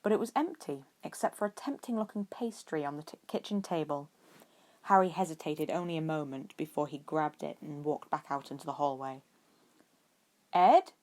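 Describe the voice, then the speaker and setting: A woman narrating a story from a script in an expressive way, as an amateur audiobook reader. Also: low voice, a little fast. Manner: acts out dialogue with expression.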